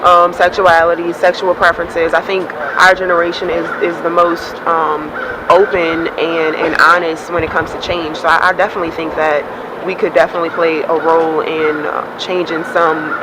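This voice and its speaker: A woman's voice: lowish voice, flat delivery, moderate pace. Hesitant young woman, noisy recording. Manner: hesitant, many ums and restarts. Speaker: interviewee, university senior. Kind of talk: casual interview answers. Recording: background noise.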